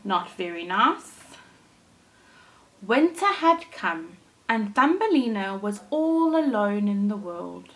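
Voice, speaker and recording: A woman teacher reading a storybook aloud to young children, in a deliberate, expressive way with dramatic emphasis. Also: mid-range voice. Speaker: primary school teacher reading to her class.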